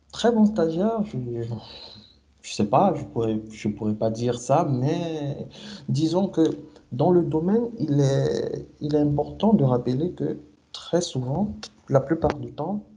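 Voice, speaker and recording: A man from Cameroon talking through his career in an interview. He speaks very slowly and hesitantly, pausing on fillers and restarting phrases. His voice is very dark and mellow. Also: fairly high pitch, lively intonation, slight background noise. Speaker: SAP consultant guest. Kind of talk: interview answers.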